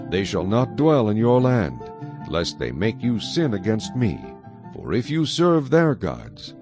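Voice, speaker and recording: Low-pitched solemn male Bible narrator. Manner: measured, solemn. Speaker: scripture narrator. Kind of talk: scripted dramatized Bible narration.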